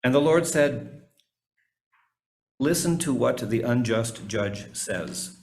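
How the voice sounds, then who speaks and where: A man's voice: mid-range voice, lively intonation, slow pace. A man reading Bible passages aloud as a scripture reader in a church service.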